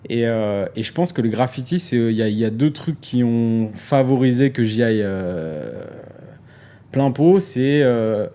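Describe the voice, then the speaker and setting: A man's voice: mid-range voice, measured pace. A man, a guest chatting casually and joking in an interview. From France. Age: 40s. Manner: relaxed, joking, says "tu vois" a lot. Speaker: guest artist.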